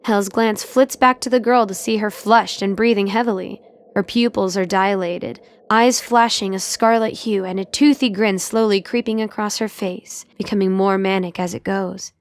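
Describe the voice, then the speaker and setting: A woman's voice: mid-range voice, fairly even intonation, normal speed, no echo. A woman reading scripted, dramatic story narration for an audio drama.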